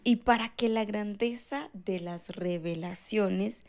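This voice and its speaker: A woman's voice: mid-range voice, slow pace, darkish tone. Woman co-hosting a faith podcast with her husband, supportive, chimes in to finish his thoughts. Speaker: co-host.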